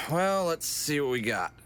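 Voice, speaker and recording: A man's voice: fairly high pitch, sing-song, measured pace, a bit bright, a little hiss or hum. A man playing a wry, exasperated young gang leader with dry sarcasm in a comic audio drama. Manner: hesitant asides, dry sarcasm.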